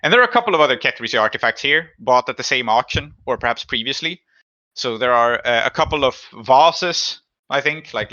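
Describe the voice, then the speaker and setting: A man acts as game master for a tabletop session. His voice is very bright and sharp at a middle pitch. He pieces descriptions together as he goes, and the recording is slightly dull. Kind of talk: narrating an improvised tabletop game.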